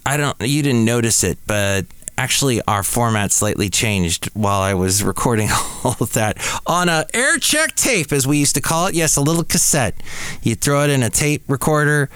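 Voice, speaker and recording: A man's voice: medium pitch, fairly bright tone, clean tone, no echo. A middle-aged man hosting a podcast, casually reminiscing over old radio tapes with playful, exclamatory asides. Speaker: podcast host and former radio DJ.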